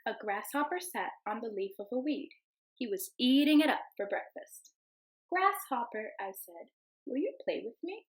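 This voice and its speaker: A young woman teacher reads a picture book aloud for her class, gentle and unhurried. Her voice sits a little high. When the child in the story calls to the animals, she lifts into a bright sing-song. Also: expressive.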